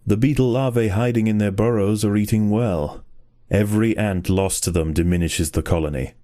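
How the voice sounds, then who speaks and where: A man reading scripted narration in the style of a nature documentary, with a measured, dramatic and solemn storytelling delivery. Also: lowish voice, fairly even intonation, dark tone.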